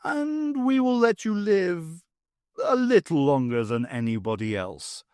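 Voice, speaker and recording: A reader doing a slow, condescending alien ruler. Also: mid-range voice, big pitch swings, darkish tone, clear, resonant tone, dry recording, clean studio sound, pitched above the performer's own voice. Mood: coldly superior. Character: cold, menacing alien leader.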